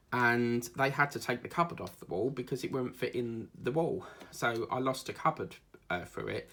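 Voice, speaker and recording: A man's voice: on the low side, fairly bright tone. A man with an Essex accent, chatting casually and rambling through his day while he works on a craft video for his channel. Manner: rambling and chatty, with frequent fillers. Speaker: diamond painting vlogger.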